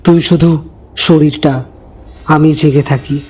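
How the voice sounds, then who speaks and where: A man voicing a menacing shadow double in a horror story, speaking in a slow, ominous, measured way. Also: on the high side, steady tone, a little mellow, husky, muffled recording, voice pushed down. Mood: cold menace. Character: menacing shadow double, calmly taking over its owner.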